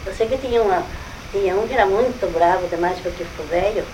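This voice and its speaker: An older Brazilian woman chats quickly about her family, exclaiming and repeating herself as she recalls. Her pitch sits in a middle range for a woman. Faint background noise runs under the recording. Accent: Brazilian Portuguese. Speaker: family elder recalling relatives. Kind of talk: casual family conversation.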